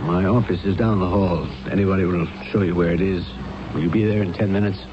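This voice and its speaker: An actor playing a stern, clinical psychiatrist, curt and authoritative in a radio drama. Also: male voice, deep voice, fairly even intonation, quick pace, lightly acted. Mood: clinical, probing. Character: stern, clinical, probing psychiatrist, impatient with patient's husband. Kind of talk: 1970s radio drama acting. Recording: noisy, muffled 1970s radio drama tape.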